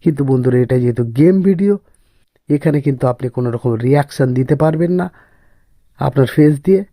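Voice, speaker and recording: A man's voice: a little high, fast talker, mellow, no echo, studio quality. A man narrating a step-by-step screen tutorial as host, often repeating himself and addressing viewers as friends.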